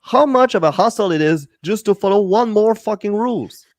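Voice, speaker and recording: A man's voice: high voice, expressive, normal speed, dry recording, studio quality. A man, a stand-up comedian, talking bluntly and swearing hard in a casual podcast chat. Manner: blunt, profane, emphatic.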